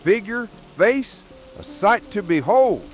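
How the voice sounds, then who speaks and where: A man's voice: sits high, very animated, slow, soft background music, exaggerated voice. A man playing a blunt, tough old Vietnam veteran grandfather telling his story in a horror radio drama. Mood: wry, fond. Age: older. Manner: blunt, salty military storytelling.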